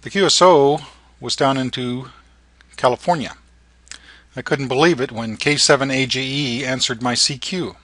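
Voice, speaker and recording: A middle-aged or older man narrating an informal tutorial in halting, short phrases. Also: medium pitch, a bit bright, dry recording. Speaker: amateur radio operator and narrator.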